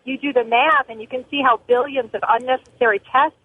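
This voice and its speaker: A woman's voice: sits high, steady tone, brisk. A middle-aged woman calling a radio show by telephone, talking earnestly in short, punchy, opinionated sentences over a narrow line. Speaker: call-in listener, family physician. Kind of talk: earnest opinionated call-in talk. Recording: narrow tinny phone line.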